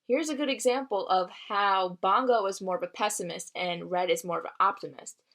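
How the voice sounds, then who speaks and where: A woman with a medium-pitched voice gives a storybook read-aloud. Her delivery is expressive, and she sounds teacherly, putting things simply as if for young listeners. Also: a little fast.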